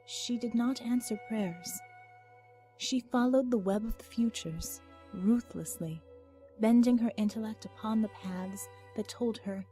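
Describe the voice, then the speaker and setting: Slow, solemn woman narrating over music. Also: a little high, mellow. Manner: solemn, measured, dramatic delivery. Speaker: audiobook narrator. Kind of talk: dramatic scripted story narration.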